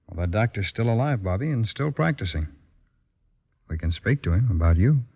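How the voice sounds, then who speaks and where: A man acting in an old-time radio drama as a patient, fatherly lawman, gentle and persuasive. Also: on the low side, lively intonation, normal speed, creaky, breathy edge, exaggerated voice. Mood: earnest. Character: patient fatherly lawman counselling a troubled youth. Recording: muffled old radio recording.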